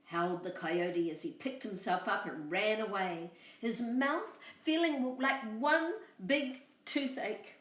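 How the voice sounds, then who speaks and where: Animated woman storyteller. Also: medium pitch, slow, echoey room, far from the mic. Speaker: children's storyteller, host. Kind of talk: expressive storybook reading for children.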